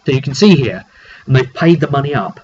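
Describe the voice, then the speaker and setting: A dull, lo-fi recording with clear noise carries a man of middling pitch. He speaks as a game master recapping his campaign informally, leaning on key phrases and pausing for drama. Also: animated, a little mellow. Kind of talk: informal video recap of a roleplaying session.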